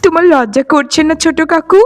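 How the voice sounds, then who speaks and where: নারী কণ্ঠে উঁচু গলার গরিব, আন্তরিক কিশোরী মেয়ে. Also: female voice, sits high, expressive, fast talker. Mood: hurt, reproachful. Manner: pleading, earnest. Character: poor, earnest, dutiful young daughter. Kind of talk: cartoon voice acting. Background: faint music.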